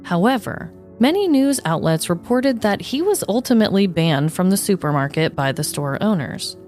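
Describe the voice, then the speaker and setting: A woman's voice: mid-range voice, a little mellow. A woman podcast host reading a scripted, comedic story narration.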